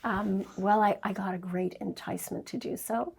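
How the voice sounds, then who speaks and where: An older woman born in Scotland gives a candid, reflective interview, pausing with fillers. Her voice is gravelly and husky. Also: medium pitch, fairly even intonation, a little mellow. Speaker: interview guest, documentary filmmaker. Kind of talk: conversational interview.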